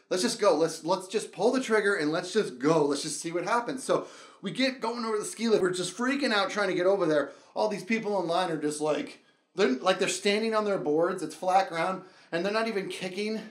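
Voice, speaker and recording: A man telling a comic personal story in an excitable, dramatic way, acting out reactions and dialogue as he goes. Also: sits high, roomy sound. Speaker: storyteller, show host. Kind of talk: animated comedic personal storytelling.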